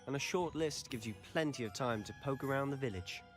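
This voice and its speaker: A man's voice: mid-range voice, brisk, soft background music, natural voice. A young man playing a confident, friendly student wizard guide in a fantasy video game, breezy and playfully formal.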